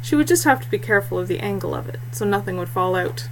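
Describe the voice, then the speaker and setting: A woman's voice: mid-range voice, expressive, fast. A woman in her thirties reading fiction aloud expressively, like an audiobook, recorded at webcam distance in a small room. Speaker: author reading own fiction. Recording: noisy home webcam recording with hum.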